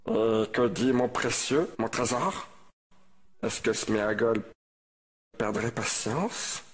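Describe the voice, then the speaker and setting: A man's voice: mid-range voice, slow pace, close to an everyday voice. A man voicing a wretched, scheming creature that mutters to itself about its treasure in a film fan dub. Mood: sly, menacing.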